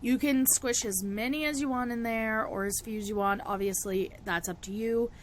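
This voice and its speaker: A young woman with a cold-roughened, raspy voice, chatting casually through a spell-making tutorial and laughing often. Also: fairly high pitch, normal speed, a little hiss or hum. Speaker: witchcraft vlogger.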